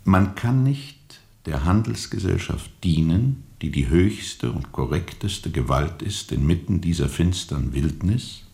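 An actor playing a weary, bitterly ironic steamboat captain in a radio play, speaking deliberately and sardonically with weighty pauses. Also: male voice, deep voice, expressive, creaky, a little mellow, exaggerated voice. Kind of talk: radio play acting, testimony under questioning.

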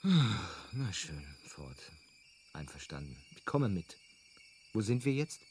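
Bewildered Earth man, lively pitch swings. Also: takes it slowly. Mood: uneasy. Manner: hesitant, then exasperated. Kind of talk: radio drama dialogue. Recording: clearly noisy ambient sound bed.

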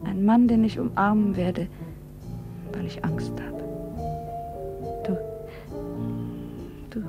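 A woman's voice: medium pitch, big pitch swings, takes it slowly, highs cut off. Give me a wistful young woman in love, over music. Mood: wistful, anguished. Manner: tender, wistful, drifting into breathy whispers. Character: yearning melancholy 22-year-old female variety snake dancer in love. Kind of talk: intimate poetic radio play acting.

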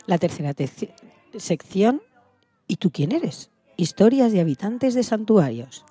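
A woman's voice: medium pitch, very animated, measured pace, mellow, slightly rough, no room sound, faint music behind. A woman from co-hosting a children's radio programme, introducing its sections.

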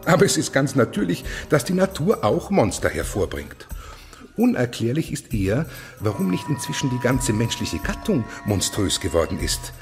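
An actor playing a fanatical, haughty eunuch official in a radio play, declaiming and preaching with contempt. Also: male voice, fairly low pitch. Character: fanatical, haughty male eunuch official ruling over monsters. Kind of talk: German radio play acting.

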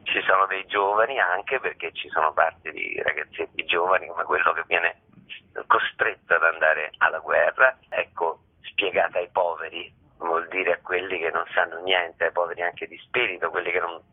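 A deep-voiced man, heard down a muffled phone line. He chats colloquially and jokily, wandering into asides as a guest on a radio interview. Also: husky. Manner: colloquial, jokey, digressive. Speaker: theatre director interviewed as guest. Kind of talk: chatty radio interview, promoting a play.

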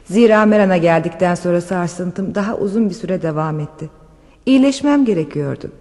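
A woman acting in a radio play as a gracious, gentle countess with formal, polite speech. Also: lowish voice, fairly quick, in a large hall. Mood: formal, warm gratitude. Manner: polite, soft-mannered, formal. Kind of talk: Turkish radio theatre acting.

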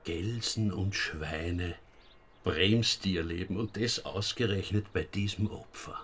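Insistent Austrian police investigator. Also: male voice, low voice, takes it slowly, fairly bright tone, slight background noise. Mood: explaining, dry. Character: brisk, matter-of-fact male police investigator. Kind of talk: radio drama acting.

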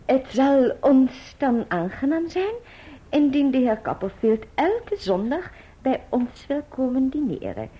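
An actress playing a prim, formal maiden aunt in a radio drama, stiff, precise and ceremonious. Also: female voice, high-pitched, big pitch swings, measured pace, slightly rough, exaggerated voice. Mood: prim, businesslike. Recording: muffled, dull old radio recording.

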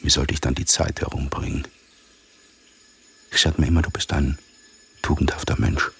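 An actor in a radio drama playing a pompous, befuddled, moralizing army captain who speaks haltingly and repeats himself. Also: male voice, extremely low pitch, fairly even intonation, gravelly, fairly bright tone, breathy edge, exaggerated voice. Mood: self-righteous, musing. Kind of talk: theatrical radio drama acting.

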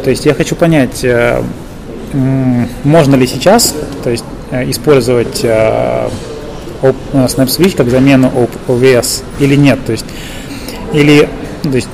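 A man interviewing informally, halting and rephrasing his questions as he goes. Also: mid-range voice, steady tone. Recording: clear crowd noise behind. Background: conference crowd.

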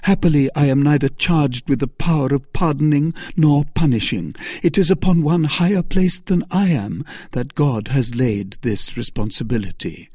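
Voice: mid-range voice, normal speed, hardly any pauses, dark tone, breathy edge, no echo, studio quality, pitched above the performer's own voice, natural voice. A narrator voicing a serious, pious male officer in an audiobook novel, speaking in a grave, formal, earnest manner. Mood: stern.

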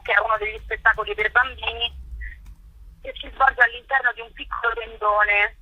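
A woman's voice: on the high side, bright, forceful tone, breathy edge, no room sound. A woman being interviewed over a phone line, explaining a festival programme in a chatty, promotional way. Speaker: interviewed festival curator and performer. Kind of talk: live radio phone interview. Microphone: telephone. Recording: tinny roomless phone line.

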